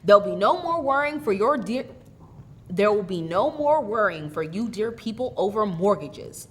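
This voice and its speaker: A woman's voice: mid-range voice, very animated, moderate pace, breathy edge. A woman voicing a hearty, eager older brother come home rich in a storybook tale. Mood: hearty, reassuring. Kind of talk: dramatic storybook reading.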